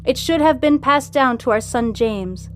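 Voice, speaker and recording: A woman's voice: sits high, unhurried, slight background noise. A woman voicing an ailing woman's formal, earnest farewell letter in a storybook. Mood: urgent, regretful.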